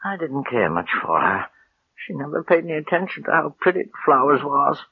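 A sickly, knowing old gardener in a vintage radio thriller. His husky voice rises and falls widely, halting and breaking into whispers. The old recording is muffled. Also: on the high side, big pitch swings, dry recording, exaggerated voice. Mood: weary, bitter. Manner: halting, folksy, plain rustic grammar. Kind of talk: 1940s-50s American radio suspense acting.

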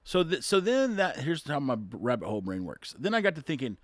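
A man's voice: medium pitch, sing-song, brisk, no room sound. A man talking casually on his own and reading an article aloud, chuckling often, rambling and tripping over words.

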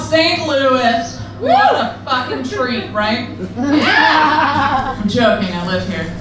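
A young woman performing a crude, self-deprecating stand-up set in a deadpan manner. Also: high voice. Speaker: stand-up comedian. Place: comedy club or bar stage. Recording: echoey room, distant, noisy.

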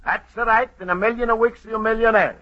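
An actor playing a naive, excitable Italian immigrant who speaks broken English with a heavy Italian accent, stammering in an old-time radio comedy. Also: male voice, sits high, a little fast, highs cut off, close to an everyday voice. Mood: wistful.

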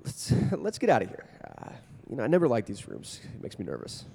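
A man playing a grave, measured psychologist in a radio play, giving advice deliberately. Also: on the low side, wildly swooping pitch, vocal fry, warm tone, slight background noise, full character voice. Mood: uneasy. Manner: deliberate, advisory. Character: grave, measured psychologist, uneasy family adviser. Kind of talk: old-time radio drama acting.

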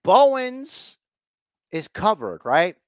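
A man's voice: high-pitched, very animated, takes it slowly, clear, resonant tone, no echo, clean studio sound. A man hosting a podcast, giving a casual, rambling recap with mock exasperation and repeated phrases for emphasis. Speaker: podcast host recapping wrestling.

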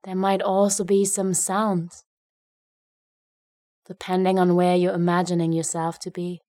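Woman, meditation guide, gentle delivery, slightly low pitch, very slow, long pauses. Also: completely flat delivery, no echo, studio quality.

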